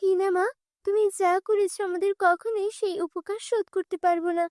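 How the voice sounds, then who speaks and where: A woman's voice: very high voice, steady tone, normal speed, darkish tone, no echo, studio quality, pitched above the performer's own voice. A woman voicing a grateful, dignified female school principal in a children's cartoon fairy tale, earnest and full of praise.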